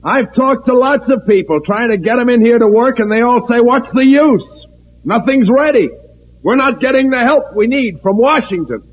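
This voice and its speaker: An actor playing an outspoken, scheming agitator with a chummy, colloquial manner in an old-time radio drama. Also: male voice, very high voice, animated, moderate pace. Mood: agitated, complaining. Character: scheming, outspoken agitator posing as friendly citizen. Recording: tinny, narrow-band vintage radio recording.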